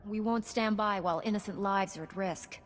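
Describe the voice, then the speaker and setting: A woman playing a calm, assured heroic knight in a video game, speaking formally. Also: medium pitch, a little fast, natural voice. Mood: calm, resolute. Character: calm, resolute heroic female Jedi knight.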